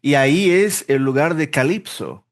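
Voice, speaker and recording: A man from Brazil, a native Portuguese speaker, being interviewed in a relaxed way, thinking aloud with restarts and fillers. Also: a little high, unhurried. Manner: rambling, self-correcting, thinks aloud with fillers. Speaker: interpreter and language teacher, podcast guest. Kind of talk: casual interview conversation.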